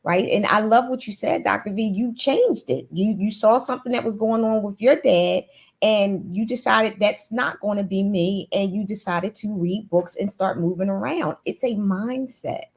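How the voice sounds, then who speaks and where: A woman's voice: medium pitch, normal speed, a little mellow, slightly rough. A woman guest in a casual interview, talking in an earnest, motivational way with frequent restarts. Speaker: guest, nurse CEO.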